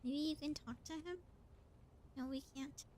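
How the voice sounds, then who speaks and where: A woman's voice: high voice, steady tone, unhurried, darkish tone, a little hiss or hum. A woman livestreamer giving casual gameplay commentary, thinking aloud in a playful, exclamatory way. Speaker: livestreamer playing a game.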